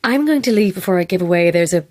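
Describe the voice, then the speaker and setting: An actress in an audio drama playing a practical, brisk event organiser with a firm, matter-of-fact manner. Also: female voice, lowish voice, animated, a little mellow. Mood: friendly, brisk.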